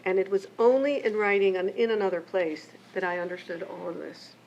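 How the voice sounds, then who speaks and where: An older woman, raised between Mexico and the United States, reads a prepared keynote speech in a measured, reflective way from her pages. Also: medium pitch, fairly even intonation, creaky, a little mellow. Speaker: keynote speaker and memoirist. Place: lecture event. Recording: muffled lo-fi with faint hiss.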